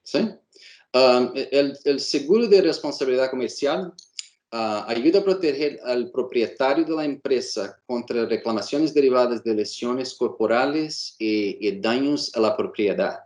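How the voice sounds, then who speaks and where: A man's voice: mid-range voice, expressive, moderate pace, darkish tone, echoey room. A man from Brazil whose first language is Portuguese, an insurance agent answering interview questions with many fillers and restarts, speaking with a Brazilian Portuguese accent.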